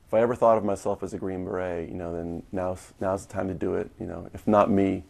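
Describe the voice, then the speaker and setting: A man with an American accent and a gravelly, husky voice, answering as an executive in an interview. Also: low voice, level tone, quick pace, a little mellow. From US East Coast. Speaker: tech company CEO, interviewee.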